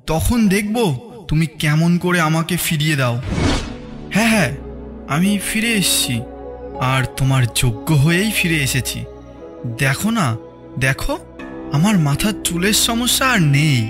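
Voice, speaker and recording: A man's voice: on the high side, measured pace, long pauses. A man playing an eager, lovelorn young man in a cartoon drama, earnest, pleading and emotional. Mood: eager, proud. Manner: pleading, excitable, emotional delivery. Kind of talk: dramatic audio story acting. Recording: music under the voice.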